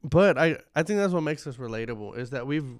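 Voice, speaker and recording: A man's voice: fairly high pitch, expressive, fast, no echo. A man in his twenties co-hosting a podcast, talking in an easygoing, reflective way in a small studio. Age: 20s. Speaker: podcast co-host. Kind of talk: casual chat. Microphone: studio microphone.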